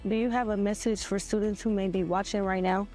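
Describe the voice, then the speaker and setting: A teenage girl with a mellow, soft-toned voice reads a scripted introduction and works through prepared interview questions in a steady way. Faint music drifts in behind her. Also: medium pitch, fairly quick. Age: late teens. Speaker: student interviewer.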